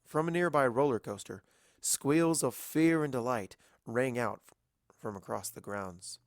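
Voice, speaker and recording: Gravelly male story narrator. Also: medium pitch, animated, measured pace, no echo, studio quality. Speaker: fan fiction reader, narrator.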